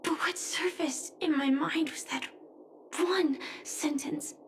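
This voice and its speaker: A woman voicing a grieving but resolute young knight in a video game, solemn, restrained and softly breathy. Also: high voice, slow, a little hiss or hum. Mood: quiet grief. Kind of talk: dramatic video game voice acting. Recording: roomy echo, music underneath. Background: music.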